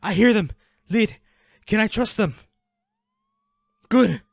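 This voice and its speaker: A man playing a devoted, anguished boyfriend in an intimate audio roleplay, pleading and halting with emotion. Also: high-pitched, gravelly, dry recording, studio quality, exaggerated voice. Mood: panicked, pleading. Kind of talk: intimate dramatic audio roleplay, one-sided dialogue.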